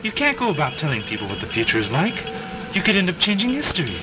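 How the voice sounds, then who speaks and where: A man's voice: on the high side, wildly swooping pitch, fast, noisy background. A man playing an eccentric, cheeky time-travelling alien doctor in an audio drama, theatrical and whimsical. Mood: gently chiding.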